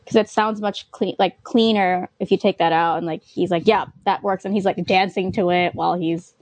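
A woman's voice: lowish voice, moderate pace, no room sound. Chatty young woman, dry close sound. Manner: excited fan talk with many "like" fillers. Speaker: podcast host. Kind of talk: casual podcast chat.

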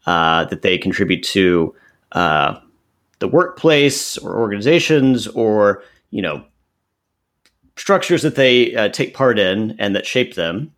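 Low-voiced earnest man from Texas. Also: expressive, slow, fairly bright tone. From Central Texas, USA. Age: 30s. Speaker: guest. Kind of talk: podcast interview answers.

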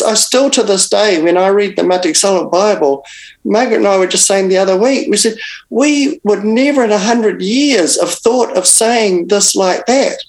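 An older man, a Bible translator, speaking as an interview guest and recounting events in an unhurried way with frequent restarts and pauses. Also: high-pitched, poor audio. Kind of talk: reflective interview storytelling.

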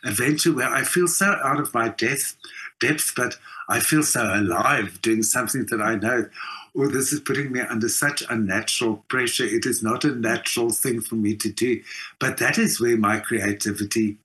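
A man's voice: mid-range voice, moderate pace, bright, forceful tone. A man in his sixties with a South African accent, speaking reflectively and emphatically in a conversational interview. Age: 60s. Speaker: interview guest, writer and performer.

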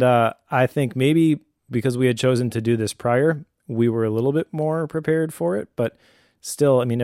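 A middle-aged man talking conversationally as a host, giving earnest, encouraging advice in a measured way. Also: medium pitch, a little mellow, smooth, no echo, clean studio sound. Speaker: podcast host, homeschooling father.

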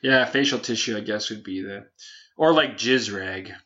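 A man's voice: lowish voice, moderate pace, bright, forceful tone, dull audio. A man co-hosting a podcast in casual chat, joking and teasing. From Canada. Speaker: podcast co-host.

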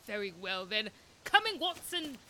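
A woman's voice: fairly high pitch, big pitch swings, normal speed. A woman playing a smug, eccentric, clever detective with a wordy, theatrical manner in a comedy audio drama. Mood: wry, amused.

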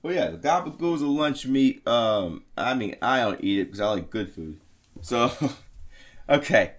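A man's voice: mid-range voice, lively intonation, measured pace. Crude jokey male podcast storyteller. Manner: crude, jokey, swearing, rambling. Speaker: podcast host telling the story. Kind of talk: casual comedic storytelling.

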